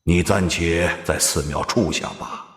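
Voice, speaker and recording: An actor playing a solemn, quietly ominous old Buddhist abbot in an audio drama, measured and formal. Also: male voice, sits low, fairly even intonation. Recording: long echoing reverb, music behind.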